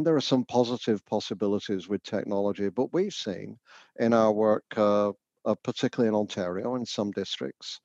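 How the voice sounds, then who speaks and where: A man's voice: lowish voice, a little mellow, no echo, dull audio. A man from the north of England with a Northern English accent, answering interview questions thoughtfully with frequent fillers and restarts. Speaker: education researcher.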